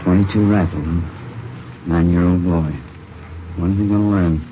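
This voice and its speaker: An American actor playing a gruff, bitter, world-weary police chief in a 1950s radio drama. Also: male voice, sits low, steady tone, moderate pace, close to an everyday voice. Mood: bitter, indignant. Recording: dull noisy old radio recording.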